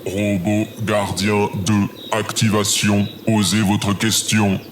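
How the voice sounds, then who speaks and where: Male actor for literal robot in audio drama, deadpan and mechanical, unhurried, slightly low register. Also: heavy background noise. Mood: impassive. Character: robot assistant and guard, flat and literal.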